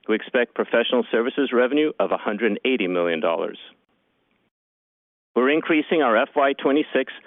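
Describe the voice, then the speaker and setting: A man working as a finance executive reads scripted earnings call remarks in a formal, measured manner. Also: medium pitch, dry recording. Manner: formal, measured delivery of figures. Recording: narrowband conference phone line.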